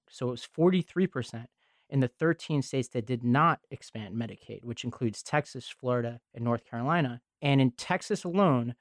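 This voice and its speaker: A man co-hosting a podcast, opening with a joke and then delivering the week's news in a steady, factual way. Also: medium pitch, no room sound, studio quality. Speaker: podcast co-host. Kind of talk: reading news summary.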